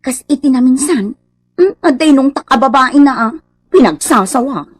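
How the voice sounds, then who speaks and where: A put-upon, jealous wife with a high, very lively voice, recorded dry. She complains dramatically in an Ilocano accent, as in a comedy radio drama with music underneath. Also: normal speed, slightly rough, no echo. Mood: aggrieved.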